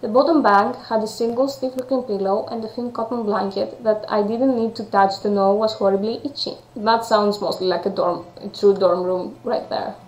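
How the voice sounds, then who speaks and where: A woman's voice: medium pitch, fairly even intonation, moderate pace, hardly any pauses, darkish tone, echoey room, rough recording. A woman with a Greek accent and non-native English, reading a visual novel aloud and commenting as she plays. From Greece. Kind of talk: game playthrough with read-aloud narration and chatty commentary.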